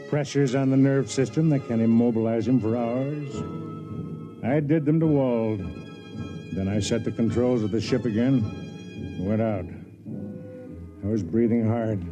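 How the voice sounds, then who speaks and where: An actor playing an old, fat, world-weary space station official who narrates in a measured, deliberate way in a 1950s radio drama. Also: male voice, mid-range voice, lo-fi sound, natural voice. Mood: somber reflection. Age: older. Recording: 1950s radio recording with music.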